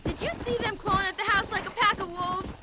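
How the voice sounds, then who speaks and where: A woman's voice: extremely high pitch, steady tone, fast, very noisy. An actress playing a frightened, guilt-ridden young frontier woman, anxious and plaintive, in a video game. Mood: anxious, horrified. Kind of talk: video game cutscene acting.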